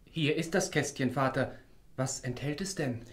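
An actor playing a naive, curious young farmer's son, earnest, in a fairy-tale audio drama. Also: male voice, medium pitch, moderate pace, lightly acted.

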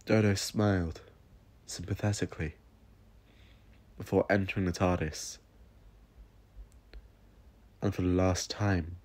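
A man narrates a first-person story in a fairly low, husky voice. He reads very slowly and solemnly, leaving dramatic pauses between short phrases. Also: steady tone, darkish tone.

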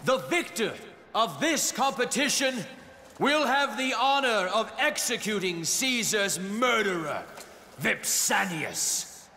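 Casting: grandiose Roman game orator, shouted declamation, slow and very high. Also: male voice, lively intonation, full character voice. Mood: proud, vengeful. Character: pompous Roman orator addressing an arena crowd. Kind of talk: shouted ceremonial proclamation. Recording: reverberant arena sound with noise.

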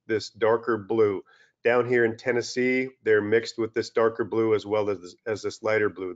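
A middle-aged man from Western Canada giving a relaxed webinar lecture over slides from a small room, with many fillers and restarts. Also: medium pitch. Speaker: turfgrass scientist presenting a webinar. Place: small indoor room, video call. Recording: dull lo-fi video-call audio.

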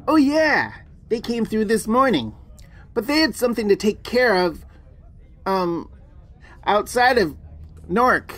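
A woman's voice: fairly high pitch, big pitch swings, slow pace, long pauses, heavily acted. A woman playing a friendly, easygoing character in an audio drama. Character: friendly, easygoing adult woman called Datu.

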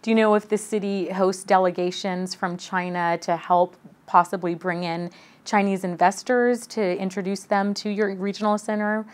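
A woman in her thirties hosting an interview, asking polite, brisk questions in an office. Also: medium pitch, flat delivery. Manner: polite, scripted intro then brisk questions. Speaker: host. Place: office, indoors. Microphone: lapel mic.

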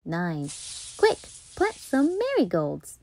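A woman reading a children's picture book aloud as a storytime host, playful and deliberate, with dramatic emphasis. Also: lowish voice, huge pitch swings, dark tone. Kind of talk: expressive children's read-aloud narration.

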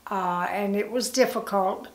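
Slow, reflective woman in her eighties. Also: mid-range voice, reverberant. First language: Italian. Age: 80s. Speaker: interviewee. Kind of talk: documentary interview reminiscence. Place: living room, seated.

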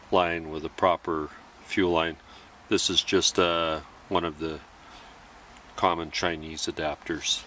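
A man with a low voice talks slowly and methodically, walking through each step of a demonstration. His tone is bright and clear, and a faint hiss of background noise sits under the voice-over. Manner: plain, methodical explaining with pauses. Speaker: gear demonstrator. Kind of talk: instructional voice-over.

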